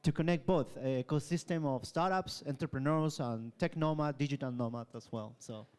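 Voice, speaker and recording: A man from Chile speaking on a live panel at a hotel event stage, halting with many "um" and "uh". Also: on the high side, darkish tone, clean tone. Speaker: panel speaker, tech incubator founder.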